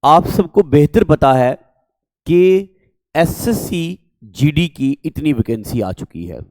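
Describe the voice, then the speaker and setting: A male coaching teacher with a sore throat, speaking slowly and deliberately as he walks students through exam details in Hindi. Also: fairly high pitch, big pitch swings, darkish tone, dry recording. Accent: North Indian Hindi. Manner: slow, deliberate, addresses listeners as dear children. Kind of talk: exam information lesson to students.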